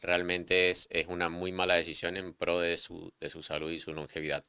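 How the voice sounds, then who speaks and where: A male podcast host with a very deep voice that still sounds bright and crisp. He talks conversationally and puts questions to his guest. The sound is dry and close, with no room echo. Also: little pitch movement, normal speed. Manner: conversational, poses questions, some restarts. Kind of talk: interview conversation.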